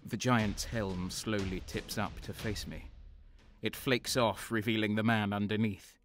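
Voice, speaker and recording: Low-voiced grim renegade soldier, acted by a man. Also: fairly even intonation, measured pace, close to an everyday voice. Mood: grim, intense. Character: renegade guardsman narrating his own grim battles. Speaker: narrator and main character. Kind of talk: first-person audio drama narration. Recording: music behind the voice. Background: dramatic music.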